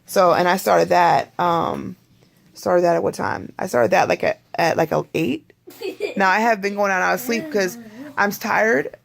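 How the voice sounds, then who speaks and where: A hoarse adult vlogger records a rambling, candid video diary. The voice is strongly gravelly and rough and sits at a middling pitch. The speaker keeps clearing their throat between thoughts. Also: measured pace.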